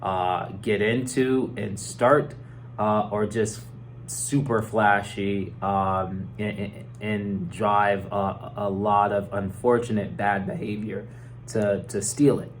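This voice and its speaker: A hoarse man with a cold, an insurance agent casually explaining things with many fillers and repeated words. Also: on the low side, fairly even intonation, slow pace, slight background noise. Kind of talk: casual explainer talk to camera.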